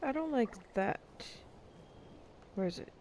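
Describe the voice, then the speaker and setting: A woman's voice: a little high, expressive, measured pace, darkish tone, a little hiss or hum. A woman streamer giving casual, playful gameplay commentary, reacting with self-deprecating humor. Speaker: video game streamer. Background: game music.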